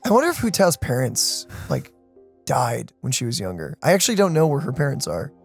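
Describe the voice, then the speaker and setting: A woman's voice: rumbling low, very animated, fairly quick, gravelly, a little mellow, soft background music. A woman co-hosting a let's play, chatting casually and wondering aloud. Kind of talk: casual gameplay commentary.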